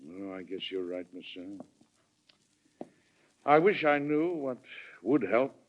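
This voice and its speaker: An actor playing a firm, weary, principled American president in 1940s radio drama style, formal and deliberately persuasive. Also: male voice, medium pitch, animated. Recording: muffled 1940s radio recording.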